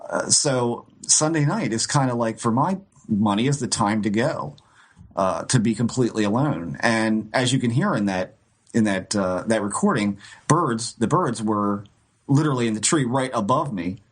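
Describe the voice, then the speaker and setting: A man's voice: mid-range voice, lively intonation, moderate pace, slightly rough. A man co-hosting a podcast, telling a ghost-hunting story in a rambling, casual way with frequent restarts and light laughs. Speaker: co-host.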